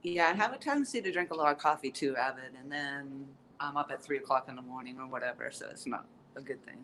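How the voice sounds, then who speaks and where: A woman's voice: rumbling low, quick pace, fairly bright tone, slight background noise, rough recording. A woman hosting a casual live-stream tour in an outdoor courtyard, chatty and upbeat with many ums as she greets viewers, sounding stuffy-nosed and sniffly.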